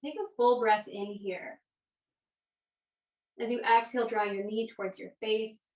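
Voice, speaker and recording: A woman's voice: on the high side, steady tone, a little slow, a little mellow. Echoey, distant woman guiding yoga. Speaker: yoga instructor. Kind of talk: guided yoga and meditation class instruction. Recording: echoey room, distant microphone.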